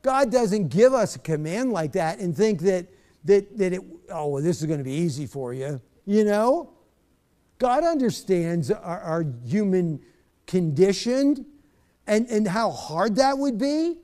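High-voiced pastor leading a bible study. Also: male voice, lively intonation, measured pace, mellow. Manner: conversational, repeats words while searching, chuckles often. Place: church stage.

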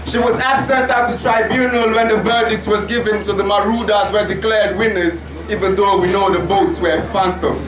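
A man's voice: sits high, steady tone, normal speed, hardly any pauses. Young man, Nigerian accent, declamatory rhythmic poetry, roomy distant sound, audience chatter. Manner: declamatory, rhythmic, impassioned delivery. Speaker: spoken word poet. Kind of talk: performance poetry recital. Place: indoor lounge venue with live audience. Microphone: handheld mic, far camera. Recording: roomy echo from far off, crowd behind.

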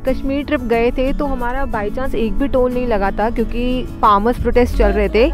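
Upbeat woman vlogger, Indian accent, a touch high, talking over background music. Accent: Indian English. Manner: chatty, mixes Hindi and English, gives tips. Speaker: travel vlogger. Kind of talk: casual travel narration. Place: inside a car on a highway. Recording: music playing under the voice.

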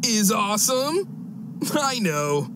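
A boastful teenage alien dude from a cartoon game. The delivery is cocky and theatrical, with huge pitch swings. Game sound is clearly audible behind the voice. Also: male voice, big pitch swings, extremely slow, background noise, full character voice. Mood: smug. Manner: cocky, melodramatic.

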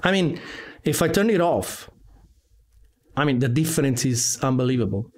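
A man's voice: medium pitch, expressive, normal speed. A man chats casually on a live stream, hesitating and repeating words as he goes. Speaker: live streamer, game developer. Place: home room with guitars on the wall. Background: computer keyboard typing at times.

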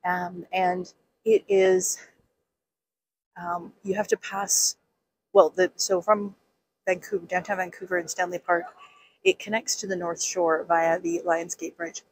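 A woman's voice: fairly low pitch, unhurried, pauses often, breathy edge, low-quality recording. A woman in her fifties chatting casually in a vlog, with many ums and restarts, filming herself outdoors at arm's length with her phone. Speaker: vlogger. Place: outdoors on a city pier.

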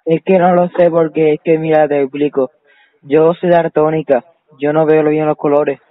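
A man's voice: a little high, flat delivery, moderate pace, natural voice. Guy putting on a confused old mom, naive and rambling, on a tinny phone prank. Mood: naive confusion. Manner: evasive, naive, repetitive. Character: confused, illiterate old mother answering the phone. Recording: muffled, tinny phone line.

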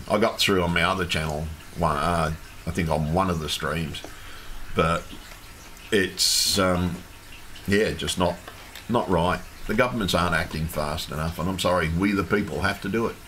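A man, hoarse and losing his voice, ranting in an agitated, repetitive livestream monologue with some swearing. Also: low voice, pushed and bright, low-quality recording. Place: home room with fish tank. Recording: faint background hum from fish tank.

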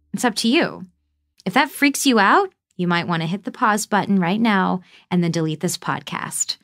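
A woman's voice: mid-range voice, fairly bright tone, no echo, studio quality, lightly acted. An actress playing a curious, thoughtful woman podcaster, narrating an audio drama and confiding directly in the listener.

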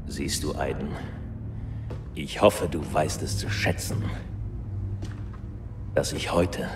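An actor playing a cold, gloating, vengeful officer in a video game cutscene, speaking in a measured, deliberate way. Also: male voice, rumbling low. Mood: cold, bitter. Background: music.

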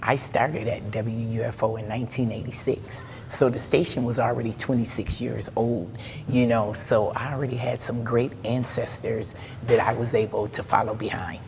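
A woman's voice: extremely low pitch, steady tone, normal speed, hardly any pauses, darkish tone. A middle-aged woman who owns a radio station, answering interview questions in an upbeat, proud way. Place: indoor exhibit hall. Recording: hall reverb, faint noise.

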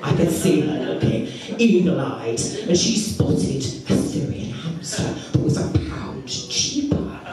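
A woman's voice: low-pitched, slow pace, music in the background. A middle-aged woman doing a stand-up comedy set, telling stories and acting out other people's voices. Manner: animated storytelling with acted-out voices. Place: comedy club stage. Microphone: handheld microphone. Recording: echoey club, from far off, crowd. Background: audience laughter.

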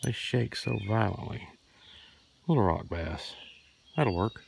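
A man giving relaxed commentary while fishing a creek on a handheld camera, chuckling and talking to viewers. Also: lowish voice, slow, creaky. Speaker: fishing vlogger. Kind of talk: casual outdoor commentary. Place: outdoors by a creek. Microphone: handheld camera, point of view. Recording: outdoor camera audio with insect noise. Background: crickets and insects.

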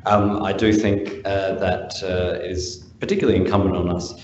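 Deep-voiced councillor man in an echoey room. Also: fairly even intonation, darkish tone, slight background noise. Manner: measured, with frequent "um" and "uh". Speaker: city councillor. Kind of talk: council meeting speech. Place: large formal council chamber. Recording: echoey chamber, lo-fi.

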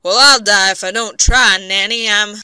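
A woman's voice: mid-range voice, fairly even intonation, normal speed, bright, forceful tone, clear, resonant tone, no echo, low-quality recording. A woman voicing Joe, an injured, determined backwoods husband with a drawl. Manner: backwoods drawl, dropped g's.

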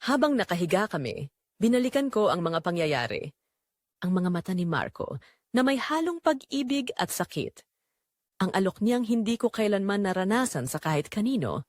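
Woman, Filipino accent, dramatic storyteller, emotional delivery, very lively pitch, dry close sound. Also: no room sound. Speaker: storyteller narrating a Tagalog audio drama. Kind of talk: dramatic first-person scripted narration.

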